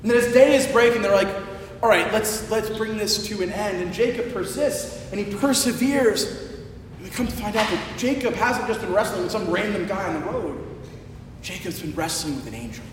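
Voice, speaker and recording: A high-pitched male preacher speaks from some distance in a large, very reverberant hall. His voice is a little hoarse, and he tells his story conversationally, with animated emphasis and stretched-out phrases. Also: moderate pace. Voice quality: slightly hoarse. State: hoarse from shouting the day before. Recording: echoey large hall, distant, faint rumbling noise.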